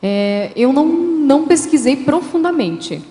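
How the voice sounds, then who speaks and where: Mellow woman in a reverberant hall. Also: sits high, very animated, unhurried. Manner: hesitant, many fillers. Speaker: guest author. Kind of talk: interview answers, explanatory.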